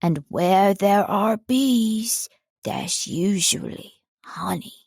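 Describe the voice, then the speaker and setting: Lady doing a slow dim sweet honey bear for a kids storybook, musing, dry sound. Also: mid-range voice, vocal fry, darkish tone, breathy edge, no room sound, heavily acted. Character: gentle, honey-loving, dimwitted male toy bear. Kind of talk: children's storybook character voice.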